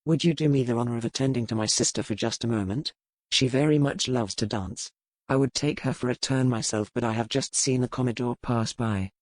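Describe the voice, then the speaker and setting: A man playing courteous, self-assured gentlemen of Regency England in a historical romance audiobook. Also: medium pitch, fairly quick, no room sound, lightly acted. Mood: courteous, breezy. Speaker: audiobook character reader.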